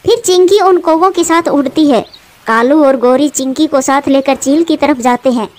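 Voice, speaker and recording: A woman's voice: high-pitched, moderate pace, darkish tone. A woman reading scripted narration for a children's bird story as its narrator.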